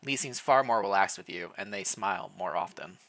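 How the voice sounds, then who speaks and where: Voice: low-pitched, fairly quick, fairly bright tone. A playful streamer reading a story aloud live, mixing narration with jokey casual chat.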